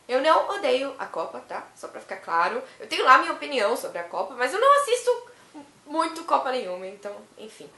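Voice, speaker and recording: A young woman from Brazil who is hoarse from a cough, chatting to camera in a rambling way and laughing often. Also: high voice, bright, forceful tone, echoey room. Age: young adult. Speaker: vlogger. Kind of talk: casual chat to camera.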